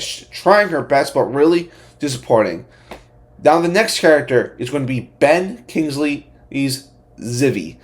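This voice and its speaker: A man hosting a casual movie review, speaking bluntly in a halting, repetitive way with frequent restarted phrases. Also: fairly high pitch, a little mellow. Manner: opinionated, blunt. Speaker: host of a movie review channel.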